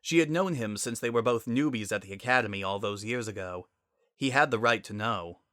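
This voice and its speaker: A man's voice: fairly low pitch, brisk, pushed and bright, no echo, studio quality. A man narrating a scripted story as a dramatic reading.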